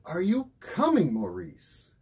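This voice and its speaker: A man's voice: high voice, wildly swooping pitch, slow, darkish tone, breathy edge, pitched above the performer's own voice, exaggerated voice. A man voicing a patient but firm father in a storybook, emphatic and coaxing. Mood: insistent calling. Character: patient, firm father calling his son.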